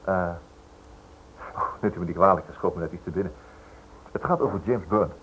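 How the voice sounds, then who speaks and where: A man's voice: sits low, fairly quick, fairly bright tone. An actor playing a polite, inquisitive male writer who stammers hesitantly over his words in a Dutch radio drama. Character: inquisitive, hesitant writer probing a criminal case. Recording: noisy old radio drama recording.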